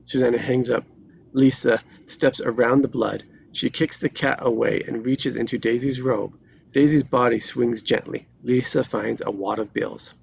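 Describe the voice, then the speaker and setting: A man reading screenplay stage directions as dramatic narration, in terse, deliberate phrases. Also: mid-range voice, fairly even intonation, gravelly, slight background noise, low-quality recording.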